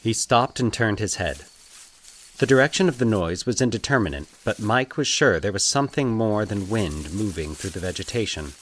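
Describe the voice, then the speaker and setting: Fiction podcast narrator: man with a fairly deep voice, measured and dramatic delivery of scripted prose. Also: animated, fairly bright tone, slight background noise.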